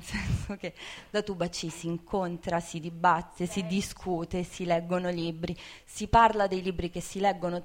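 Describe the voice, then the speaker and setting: A woman talking informally to an audience, reflective and hesitant, repeating words as she searches for them. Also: lowish voice. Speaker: bookseller, co-founder of a women's bookshop.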